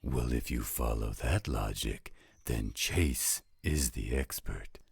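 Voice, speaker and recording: A man voicing a gruff, patient older werewolf stepfather in a scripted roleplay audio drama. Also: rumbling low, dry recording, full character voice. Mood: dry, gruff. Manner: gruff, dry, unhurried.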